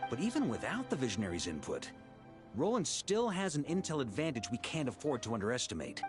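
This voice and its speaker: An actor playing a bold, easygoing knight commander in a video game, with a casual, conversational delivery. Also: male voice, mid-range voice, natural voice.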